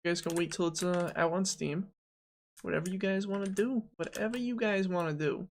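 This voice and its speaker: Gravelly-voiced twenty-something game dev streamer. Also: medium pitch, fairly quick. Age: 20s. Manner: joking, rambling, self-talk with fillers. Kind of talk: casual live dev stream, thinking aloud.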